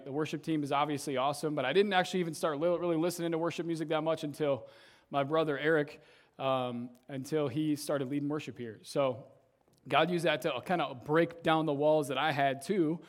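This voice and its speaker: Young man, youth pastor, casual sermon to a congregation, slightly raspy voice, fairly high pitch, conversational. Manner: conversational, tags sentences with "right?". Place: church service, in front of a congregation.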